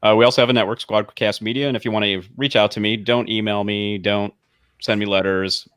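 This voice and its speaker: A man's voice: on the low side, little pitch movement, brisk, fairly bright tone, clean tone, no room sound, studio quality. A man guesting on a casual podcast over a video call, joking and self-deprecating. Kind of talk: casual chat.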